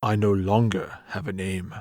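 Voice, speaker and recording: A man's voice: sits low, little pitch movement, moderate pace, a little mellow, dry recording, close to an everyday voice. A man playing a brooding, gallant medieval undead knight with formal, archaic, chivalrous speech in a comic dub. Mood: melancholy.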